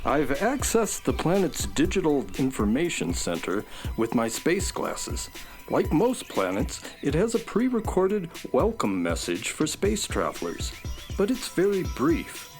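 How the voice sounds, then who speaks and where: Level-headed space sidekick, played by a woman: deep register, matter-of-fact, precise, faint music bed, children's audio drama. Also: very animated, a little slow, a little mellow, exaggerated voice.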